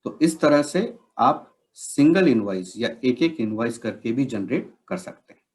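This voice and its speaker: A man narrating an instructional software demonstration, explaining step by step and mixing in English technical terms. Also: medium pitch, animated, measured pace.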